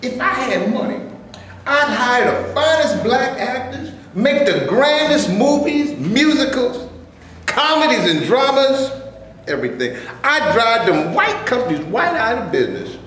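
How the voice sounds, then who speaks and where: A roomy, distant recording of a staged reading with faint noise. An actor plays an ambitious, resentful man who dreams of making movies. His voice is high for a man and moves up and down in an animated way. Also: unhurried, heavily acted. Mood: proud, frustrated. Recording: echoey room, distant mic, faint noise.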